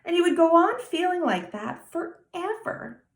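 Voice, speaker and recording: A woman's voice: extremely high pitch, big pitch swings, measured pace, reverberant, pitched above the performer's own voice, full character voice. A woman voicing a serious, earnest small boy of nearly ten in a storybook reading. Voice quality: grave, emphatic childlike delivery.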